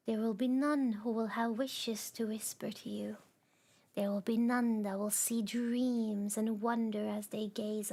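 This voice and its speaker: Cosmic darkness voiced by a woman, slow hushed whispers, seductive and patient, storybook reading. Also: on the high side, husky, heavily acted.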